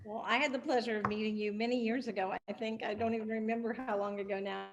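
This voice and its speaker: A woman's voice: fairly high pitch, steady tone, quick pace, muffled recording, background noise. A woman hosting an interview series, asking questions in a chatty, friendly way. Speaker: interviewer host. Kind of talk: casual interview.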